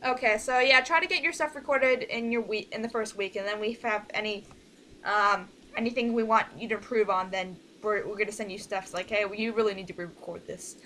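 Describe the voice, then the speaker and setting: A woman's voice: medium pitch, fairly even intonation, brisk, bright, forceful tone, a little hiss or hum, low-quality recording. A woman leading a casual online group meeting, talking informally through instructions step by step. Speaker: organizer leading a voice project meeting.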